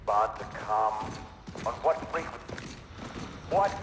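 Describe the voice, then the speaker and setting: An actor playing an impatient, irritable military superior with a curt, demanding manner in a video game. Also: male voice, lowish voice, sing-song, takes it slowly. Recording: game audio with clear background noise.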